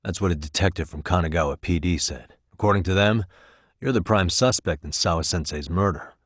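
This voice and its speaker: Dogged game detective: deep voice, slightly husky, wry and terse, very dry close recording. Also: male voice, brisk, a bit bright, dry recording. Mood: intent, serious. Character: determined, streetwise male detective, dogged and wry. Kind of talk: scripted video game dialogue.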